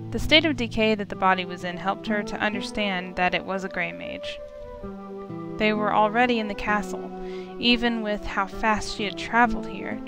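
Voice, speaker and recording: A woman reads visual novel narration aloud in a mid-range voice. She tells it as a story for a playthrough. Instrumental music plays clearly behind her. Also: mid-range voice, normal speed.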